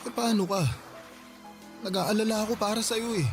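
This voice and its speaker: An actor playing a soft, placating young student boyfriend in a Tagalog radio drama, concerned and hesitant. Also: male voice, high voice, fairly even intonation, normal speed, lightly acted. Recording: radio drama with music bed.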